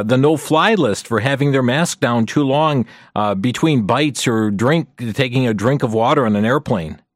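A man hosting a radio talk show, earnest and measured as he addresses listeners directly. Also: mid-range voice, no room sound, studio quality.

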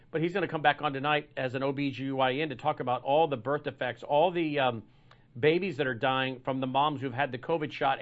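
A man's voice: a little high, fairly bright tone. A man hosting a live talk broadcast, delivering a combative opinion monologue with frequent repeats and restarts. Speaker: talk show host. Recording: dull lo-fi broadcast audio.

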